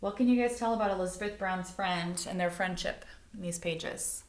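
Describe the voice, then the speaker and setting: A woman reading a picture book aloud like a teacher, expressively, pausing to explain things and put questions to young listeners. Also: on the low side, slight background noise. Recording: roomy, echoing indoor sound.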